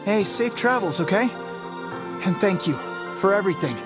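A man's voice: high voice, animated, moderate pace, over music. A man playing a guarded, stoic teenage boy, an older brother, in a dramatic video game performance. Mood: grateful.

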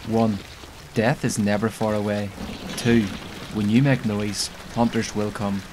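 A man's voice: fairly low pitch, measured pace. A man talking through gameplay as a video creator, in narration and live team chat. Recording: steady loud background noise. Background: pouring rain and game ambience.

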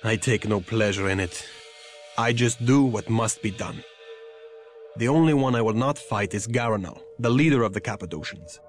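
An actor playing a grave, stern medieval vampire warrior and mentor, speaking in solemn archaic phrases for a video game. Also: male voice, lowish voice, moderate pace, soft background music, close to an everyday voice. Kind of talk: theatrical video game acting.

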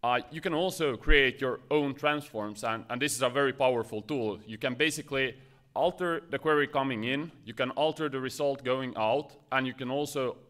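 A man's voice: medium pitch, moderate pace, fairly bright tone. Man, conference speaker, nervous, lots of ums and restarts, stage mic. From Finland. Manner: nervous, many fillers and restarts. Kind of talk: short technical conference talk.

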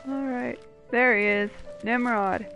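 A woman's voice: a little high, fairly even intonation, takes it slowly, vocal fry, fairly bright tone, soft background music. A woman giving casual gameplay commentary with dry, wry asides.

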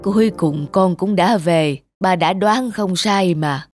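A soft-spoken old man, frail and nostalgic, played by a woman in a lower, slow, wistful voice. Music plays clearly under him in this cartoon.

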